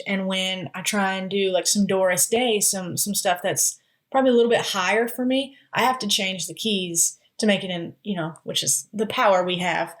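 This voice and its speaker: A woman guest in a podcast interview, speaking candidly and laughing easily, with stumbling restarts. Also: mid-range voice. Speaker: music therapist guest. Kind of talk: casual interview chat.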